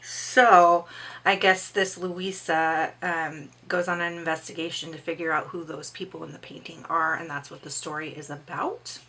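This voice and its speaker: A middle-aged woman with a slightly hoarse voice, chatting casually about upcoming books and reading their blurbs aloud. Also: deep voice, little pitch movement, normal speed, bright, forceful tone, rough recording. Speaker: book vlogger.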